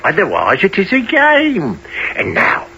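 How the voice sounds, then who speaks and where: Sly old thief boss for a radio play, high sharp voice, wheedly and chuckly. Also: male voice, huge pitch swings, a little slow, vocal fry, muffled recording, a little hiss or hum, full character voice. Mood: gleeful. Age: older. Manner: wheedling, theatrical, full of chuckles. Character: wily, jolly old male pickpocket master.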